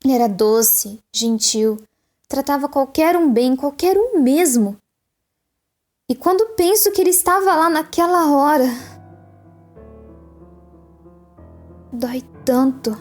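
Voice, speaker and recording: A woman's voice: high-pitched, animated, measured pace, a little mellow, soft background music. A woman playing a proud alien mining heiress giving formal, solemn testimony in an audio drama. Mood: grieving.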